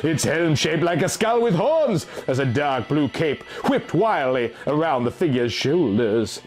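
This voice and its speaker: A man's voice: fairly high pitch, a little mellow. A man reading a fantasy story aloud as dramatic, theatrical scripted narration. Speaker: audiobook reader.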